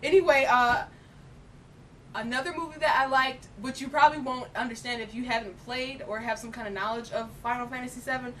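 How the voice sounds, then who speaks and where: A woman's voice: fairly even intonation, a little fast, a bit bright. A woman co-hosting a film chat, talking casually in emphatic, slangy, opinionated fan style. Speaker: co-host reviewing films. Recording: distant mic, faint noise and rumble.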